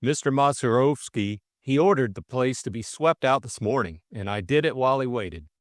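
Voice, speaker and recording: A man's voice: medium pitch, lively intonation, moderate pace, no echo, clean studio sound, natural voice. A man voicing an anxious working-class servant in an audiobook, plaintive and deferential, with ungrammatical speech. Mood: explanatory, deferential.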